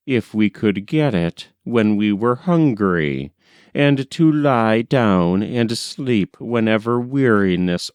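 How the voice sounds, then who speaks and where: A man reading a nineteenth-century novel aloud as an audiobook narrator, with measured, deliberate phrasing. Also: medium pitch, a little mellow, smooth, no room sound, studio quality.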